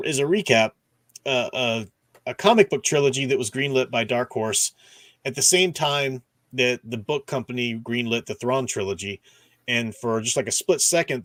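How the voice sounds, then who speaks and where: A man's voice: medium pitch, no echo. A man hosting a livestream, casually discussing books and reading out viewer comments with an enthusiastic fan's rambling asides. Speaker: livestream host.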